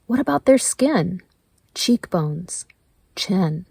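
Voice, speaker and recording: A woman leading a guided meditation in a gentle, measured way, leaving pauses between her prompts. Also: on the low side, sing-song, vocal fry, husky, no room sound. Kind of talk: slow guided meditation narration.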